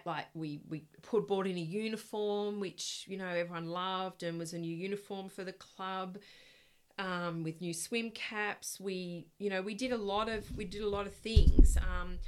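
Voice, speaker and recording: A middle-aged woman with a loud, projecting voice, chatting in a podcast interview and telling lively stories with plenty of fillers. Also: mid-range voice, unhurried, smooth. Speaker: guest, former swim club committee member.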